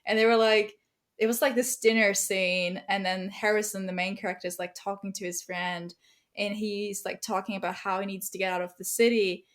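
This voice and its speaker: A woman's voice: mid-range voice, steady tone, moderate pace. A woman from Germany whose first language is German, speaking English with a German accent as a guest writer in a casual podcast chat, full of fillers and laughs. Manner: chatty, many fillers and laughs.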